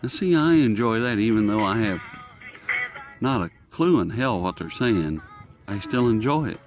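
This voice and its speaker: A man's voice: on the low side, lively intonation, moderate pace, music in the background. A man hosting a hobby channel, giving a casual, unscripted demonstration in a folksy, rambling way as he muses aloud. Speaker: radio hobbyist channel host. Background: shortwave radio being tuned.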